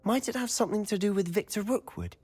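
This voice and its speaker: An actor playing a charming teenage wizard schoolboy in a game, speaking in a lively, curious, confiding manner. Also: male voice, sits high, fast, breathy edge.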